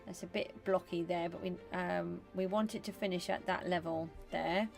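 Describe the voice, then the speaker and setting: A woman's voice: lowish voice, steady tone, moderate pace, gravelly, darkish tone, faint music behind. A British woman streaming a building game live, chatting casually and thinking aloud with frequent ums. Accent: British English. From England. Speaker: gaming livestreamer. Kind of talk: casual live-stream chat while playing.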